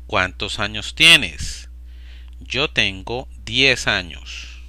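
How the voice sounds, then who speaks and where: Man, very slow deliberate delivery, sharp bright tone, dry close sound. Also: fairly low pitch, lively intonation, no echo. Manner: slow, deliberate, evenly paced. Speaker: Spanish teacher. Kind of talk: slow repetition drill for learners.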